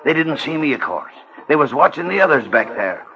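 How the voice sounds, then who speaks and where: A man's voice: fairly high pitch, very animated, brisk. Hearty Irish handyman, folksy brogue, old radio play, dull hissy sound. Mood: confiding. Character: jolly heavy-set Irish handyman. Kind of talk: 1940s radio acting. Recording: lo-fi old radio broadcast with hiss.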